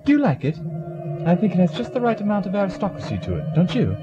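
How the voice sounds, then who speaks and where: A man's voice: high-pitched, wildly swooping pitch, fast talker, muffled recording, loud music behind the voice. A man playing a scheming, commanding alien villain posing as a hero in an audio drama, pompous and silkily formal. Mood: suave, haughty. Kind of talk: sci-fi audio drama acting.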